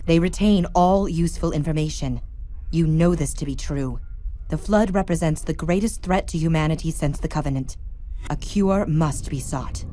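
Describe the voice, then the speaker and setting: A woman's voice: deep voice, normal speed, dark tone, natural voice. A woman playing a composed advisor aboard a warship in a motion comic, formal, clinical and detached. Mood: cool, grave. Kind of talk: dramatic voice acting in a motion comic.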